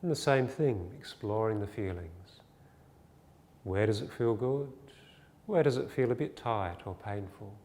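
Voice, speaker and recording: A man teaching a guided body scan meditation, giving gentle, soothing, unhurried instructions with pauses. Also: on the low side, lively intonation. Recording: long reverb, faint music behind.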